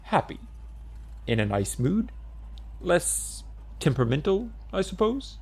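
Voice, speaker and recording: A man's voice: high-pitched, sing-song, a little slow, creaky, breathy edge, voice pushed up, full character voice. A man playing a nervous, placating fellow in an audiobook, hesitant and trying to sound unassuming. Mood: nervously placating.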